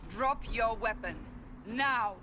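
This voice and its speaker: A woman's voice: a little high, slow, noisy background. A woman playing a stern, commanding warrior in a fantasy video game, speaking curtly and with authority. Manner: curt, authoritative.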